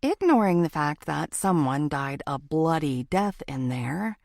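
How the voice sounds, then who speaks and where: A woman's voice: sits low, expressive, a little slow, creaky, a little mellow, no echo, studio quality. A woman narrating a first-person thriller audiobook from a script.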